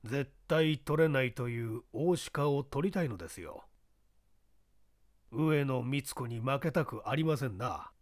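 A shrewd, determined baseball scout in an audio story , played by a male reader. He speaks earnestly and insistently, with lively intonation in a dry recording. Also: medium pitch, unhurried, dry recording, natural voice.

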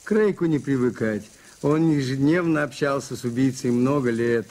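Guy playing calm clever priest sleuth in a radio drama, mid pitch, dry understated irony. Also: normal speed, lightly acted. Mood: gently ironic. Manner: understated, ironic. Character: shrewd, calm, gently ironic priest detective, a man. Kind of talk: 1980s radio play acting.

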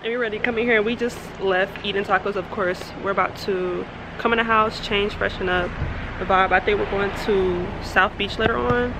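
Casting: American woman, 20s, mid-pitched voice, slangy excited vlogger, recorded on a handheld camera with noise behind. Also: moderate pace. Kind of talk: casual vlog chat, house tour. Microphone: camera held at arm's length.

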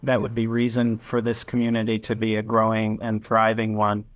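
A man's voice: lowish voice, completely flat delivery, normal speed, no room sound. A man in his sixties hosting a video call interview, talking in a rambling, conversational way with asides and restarts. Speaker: podcast host. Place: home office with bookshelves. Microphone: video call webcam.

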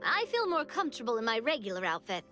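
A woman playing a gentle, caring young fairy in an anime video game, speaking in a sweet, solicitous way. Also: fairly high pitch, sing-song, fast, soft background music. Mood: earnest.

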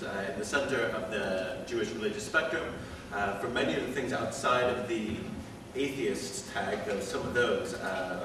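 A man, a rabbi, introduces himself at a measured pace, pausing on filler words. His fairly low voice comes from a distance across a large hall with long reverb. A steady hiss runs beneath. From Wisconsin, USA. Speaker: rabbi, panelist. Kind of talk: panel self-introduction. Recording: echoey hall from far off, noisy.

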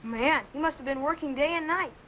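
A man's voice: very high voice, fairly quick, bright, forceful tone. A young male actor playing an eager teenage lab assistant in 1950s children's television style. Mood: impressed. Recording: noisy vintage TV soundtrack.

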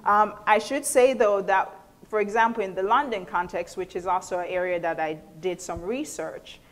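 A middle-aged woman lecturer giving a thoughtful spoken explanation to an audience, often pausing mid-phrase as she picks her words. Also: mid-range voice, fairly even intonation. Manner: thoughtful, halting, frequent pauses mid-phrase. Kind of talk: explanatory answer to an audience question. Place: indoor hall, speaking to an audience. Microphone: clip-on lapel mic.

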